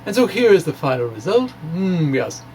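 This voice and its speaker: A man giving an informal science explanation in a halting way, as if thinking aloud. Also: sits high, very animated, warm tone, soft background music, poor audio. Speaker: amateur scientist presenting his blog.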